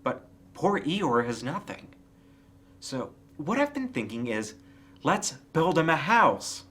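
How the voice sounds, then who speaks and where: A kindly storybook bear, read by a man with a lively, swinging intonation. He is simple and earnest, musing aloud with confidence and a slightly muddled manner. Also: on the high side, slow pace, voice pushed up, exaggerated voice.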